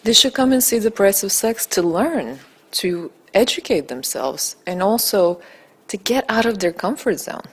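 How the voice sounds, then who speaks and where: A native Bulgarian woman answering interview questions as a documentary director, earnest, deliberate and emphatic. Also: mid-range voice, expressive, slightly rough.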